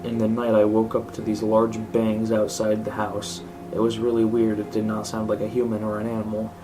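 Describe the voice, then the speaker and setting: A man's voice: lowish voice, monotone, brisk, over music. A man reading a scripted horror story aloud as narrator. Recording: clearly noisy home recording.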